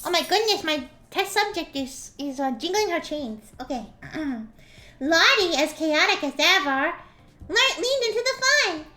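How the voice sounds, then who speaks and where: A woman streamer with a pitch-raised squeaky voice filter, casually chatting and reading a story aloud, often clearing a scratchy throat. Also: lively intonation, measured pace, bright, forceful tone, soft background music. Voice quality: squeaky voice from a slime avatar filter. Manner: giggly, reads story text aloud with voiced quotes.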